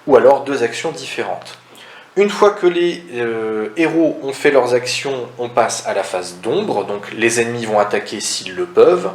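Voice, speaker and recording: A man with a mid-range voice walks through board game rules step by step in a roomy, echoing space. He is conversational and didactic, posing questions and answering them himself, with some noise in the recording. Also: mid-range voice. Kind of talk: casual step-by-step tutorial. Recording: roomy echo, audible background noise.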